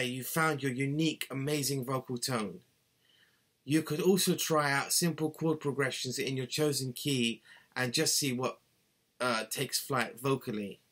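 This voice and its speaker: A man's voice: medium pitch, fairly bright tone. Guy in his 30s explaining singing tips, earnest, webcam mic, small cluttered room. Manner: earnest, instructive, gestures with both hands. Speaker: singing tutor. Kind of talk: scripted how-to talk to camera. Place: small cluttered bedroom, indoors.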